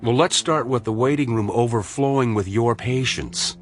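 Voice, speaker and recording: A man's voice: medium pitch, normal speed, soft background music, close to an everyday voice. An actor playing an earnest male medical colleague with a probing, moralising, sardonic manner in a radio drama. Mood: firm, concerned.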